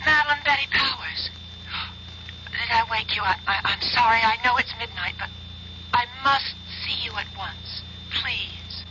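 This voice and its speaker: An actress playing an earnest, haunted young college student in a 1970s-style radio drama, hesitant and breathless with trailing pauses. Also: female voice, fairly high pitch, noisy background, lightly acted. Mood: urgent, apologetic. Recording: muffled old radio broadcast recording.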